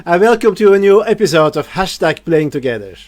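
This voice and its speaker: A man's voice: high-pitched, animated, a little fast. A man hosting an online show, chatting playfully and laughing often on a video call. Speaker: show host. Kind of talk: casual video call chat.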